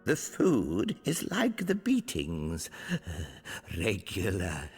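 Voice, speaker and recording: An actor playing a cheerful old male prisoner, proud of surviving torture, with a creaky voice and jaunty gallows humour in a video game. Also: low-pitched, wildly swooping pitch, extremely slow, heavily acted. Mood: wry, proud. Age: older. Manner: jaunty, darkly humorous. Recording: long reverb, music underneath.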